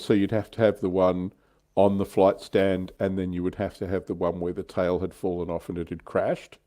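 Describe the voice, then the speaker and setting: A man's voice: low voice, mellow. An Australian man chatting casually and irreverently as co-host of a hobby livestream.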